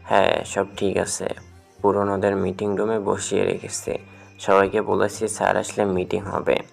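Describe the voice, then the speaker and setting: A man's voice: deep voice, monotone, moderate pace, creaky, faint music behind. A man acting a fatherly older office manager in an audio story drama, speaking in an earnest, avuncular way. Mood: avuncular, obliging.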